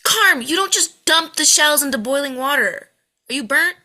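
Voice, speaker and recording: A woman's voice: high-pitched, lively intonation, moderate pace, sharp tone, full character voice. A woman playing a volatile, overwhelmed mother in her late forties, snapping and swearing in an amateur drama table read. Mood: bossy, irritated.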